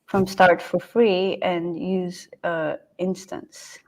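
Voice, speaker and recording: A woman in her thirties co-hosts a casual live stream from a room at home over a video call. Her voice sits low, and she talks in a chatty way, often restarting her sentences. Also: little pitch movement, slow pace. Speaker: co-host.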